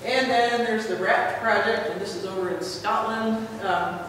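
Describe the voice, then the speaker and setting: A woman presenting her research at a conference, in a relaxed, chatty way with frequent pauses. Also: medium pitch. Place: large lecture hall. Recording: echoey hall, mic far off, noisy.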